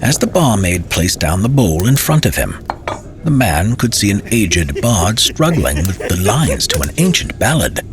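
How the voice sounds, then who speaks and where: A man's voice: on the low side, sing-song, a little slow, noisy background. A man narrating a fantasy tale as a storyteller, with dramatic, theatrical and courtly phrasing.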